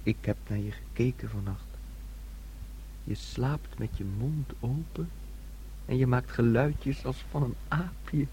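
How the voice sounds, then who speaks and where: A man's voice: medium pitch, takes it slowly, dark tone, slight background noise, poor audio. An actor playing a childlike, dreamy young man in a radio play, with a naive, wistful storytelling manner. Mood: wistful, intimate. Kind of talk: Dutch radio play acting.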